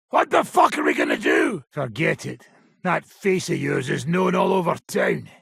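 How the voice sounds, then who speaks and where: A gravelly, foul-mouthed old robber baron. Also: male voice, on the high side, a bit bright, no echo, clean studio sound, heavily acted. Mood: angry, exasperated, then gruff, commanding. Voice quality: gravelly, very raspy. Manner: blunt, coarse, swearing, sardonic. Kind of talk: video game character acting.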